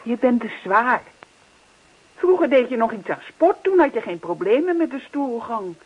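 An actress playing a middle-aged wife in a naturalistic radio play, teasing and reproachful yet affectionate. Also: female voice, on the high side, very animated, moderate pace, a little hiss or hum. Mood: chiding. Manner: chiding, teasing, coaxing. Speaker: actor. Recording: dull, muffled radio play recording.

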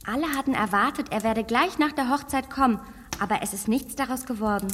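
An actress playing a confident young daughter who speaks briskly and reassuringly. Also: female voice, fairly high pitch, fairly bright tone, long reverb tail. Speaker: actress in a radio play.

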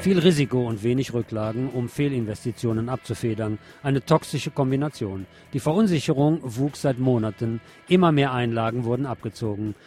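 A man's voice: mid-range voice, brisk, few pauses. A man reads scripted political commentary as a radio presenter. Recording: music playing behind the voice. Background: music.

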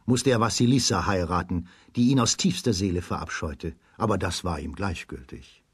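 A man narrates a children's fairy tale from a script. His voice is low and husky, recorded very dry and close. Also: no room sound.

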